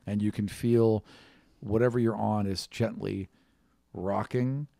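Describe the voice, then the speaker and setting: A man's voice: fairly low pitch, steady tone, slow pace, gravelly, dark tone, dry recording. A man working as game master, improvising tabletop game narration in a conversational way and describing scenes directly to the player.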